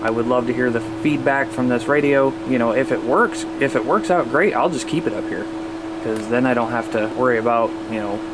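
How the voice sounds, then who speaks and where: A man with an average-pitched voice hosts a casual hobby video. He talks straight to his viewers in a folksy, rambling way. A clearly audible, steady noise runs behind his voice. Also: a little fast. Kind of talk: casual vlog chat to viewers.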